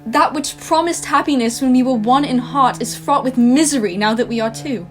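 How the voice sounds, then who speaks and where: A woman's voice: sits high, soft background music, natural voice. A woman playing a wounded young fiancée. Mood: sorrowful. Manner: measured, dignified reproach. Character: young woman, gentle but hurt fiancée. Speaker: stage actor. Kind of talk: school stage play acting. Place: school theatre stage.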